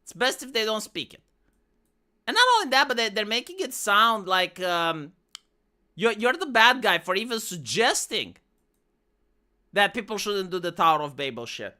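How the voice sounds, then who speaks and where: A woman's voice: mid-range voice, lively intonation, normal speed, pauses often, pushed and bright. A woman streamer with a Romanian accent, English not her first language, ranting profanely with many restarts. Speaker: live streamer. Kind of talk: ranting live-stream commentary.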